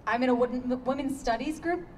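Actress as young woman, twenties, sharp-tongued and challenging, higher-pitched, staged reading, distant sound. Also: brisk, natural voice. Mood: indignant. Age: 20s. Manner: pointed, challenging, argumentative. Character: sharp, sardonic, bookish young woman confronting an older man. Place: outdoor stage against a block wall. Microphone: handheld stage microphone, heard from afar. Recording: distant handheld mic, noticeable background noise.

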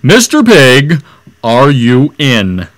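Storybook wolf, male reader, courteous, calling out slowly and a little raised. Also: medium pitch, huge pitch swings, fairly bright tone, full character voice. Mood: coaxing. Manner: calling out in drawn-out words. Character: polite wolf calling out at a neighbor's door. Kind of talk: storybook read-aloud character voice.

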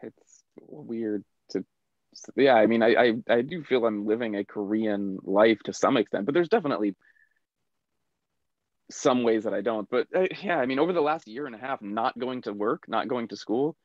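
A man's voice: mid-range voice, moderate pace, dry recording. Hesitant man, dull dry home mic. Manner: hesitant, many restarts. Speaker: podcast co-host. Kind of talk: casual chat.

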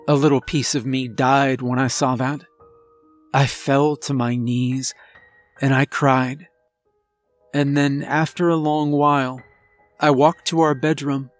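A man voicing a remorseful werewolf husband and father confessing in a grave, halting, emotional horror story. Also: on the high side. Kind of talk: dramatic first-person horror storytelling. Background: background music.

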